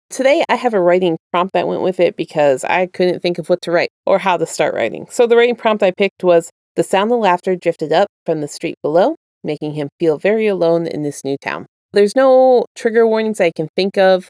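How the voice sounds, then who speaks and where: A woman's voice: medium pitch, a little mellow, clear, resonant tone, no echo, studio quality. A woman narrating her own written fiction as an amateur audio story reader. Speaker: podfic writer and narrator. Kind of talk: casual intro and scripted story narration.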